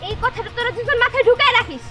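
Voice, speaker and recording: A woman's voice: extremely high pitch, lively intonation, racing, music in the background. A woman voicing a spoiled, jealous and threatening chairman's daughter in a cartoon drama.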